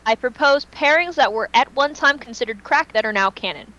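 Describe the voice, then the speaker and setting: A woman talks casually as a panelist on a fan podcast. She is emphatic and a little theatrical, gushing as she goes. The sound is dull and low fidelity. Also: lively intonation, fairly quick, sharp tone.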